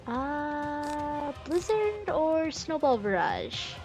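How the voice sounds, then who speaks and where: Woman streamer musing over loud game music. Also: high-pitched, big pitch swings, extremely slow. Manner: musing, thinking aloud. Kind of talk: casual gameplay commentary. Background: video game music.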